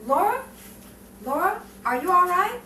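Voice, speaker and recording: A woman's voice: very high voice, very animated, unhurried, pushed and bright, heavily acted. A woman playing a concerned, friendly bank co-worker in a 1950s melodrama, solicitous and inquisitive. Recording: echoey, distant, faintly noisy room recording.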